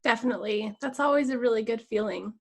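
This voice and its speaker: A woman's voice: on the high side, fast, creaky. A woman hosting a conversational interview, polite and affirming as she asks questions. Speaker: interview host.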